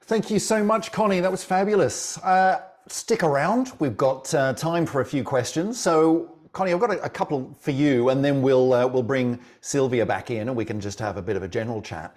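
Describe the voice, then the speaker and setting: A man's voice: mid-range voice, moderate pace, few pauses, a little mellow. Upbeat man hosting a science Q&A. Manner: upbeat, reads viewer questions. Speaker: webinar host. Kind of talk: moderating a question session.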